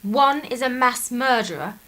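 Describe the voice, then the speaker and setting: A woman's voice: on the high side, lively intonation, unhurried, sharp tone. An actress playing a headstrong young woman from a 1930s clergy family in a radio drama, intense and outspoken. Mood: indignant.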